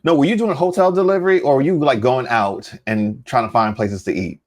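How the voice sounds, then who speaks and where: A man co-hosting a podcast in casual chat, jumping in with questions and quick retorts. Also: medium pitch, darkish tone. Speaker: podcast co-host.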